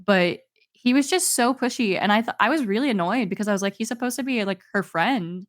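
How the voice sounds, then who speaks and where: A woman's voice: mid-range voice, expressive, a little fast, no room sound, clean studio sound. A young woman, co-hosting a podcast, chatty and laughing often as she tells stories. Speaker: podcast co-host. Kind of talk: casual chat.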